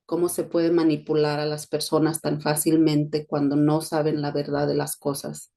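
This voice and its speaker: A middle-aged Mexican-born woman speaks in a neutral Spanish accent, her voice low and warm. She talks in a steady, flat-toned, reflective way, like a host sharing her story on a podcast. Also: normal speed, gravelly. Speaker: podcast host, education consultant. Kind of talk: personal monologue podcast.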